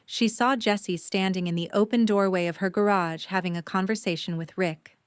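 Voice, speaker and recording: A woman's voice: medium pitch, no echo, clean studio sound. A woman reading scripted third-person story narration for an audio drama.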